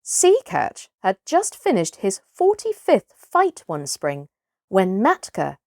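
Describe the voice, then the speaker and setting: A woman reading a children's story aloud in an expressive, theatrical way, with deliberate phrasing. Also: mid-range voice, no echo, studio quality. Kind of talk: expressive scripted children's audiobook narration.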